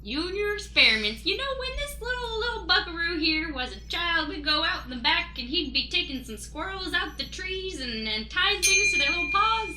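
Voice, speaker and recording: A woman's voice: extremely high pitch, expressive, moderate pace, few pauses. A woman playing an impish, nosy, teasing male friend who rambles in a folksy way in an improvised comic radio play. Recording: roomy, echoing sound.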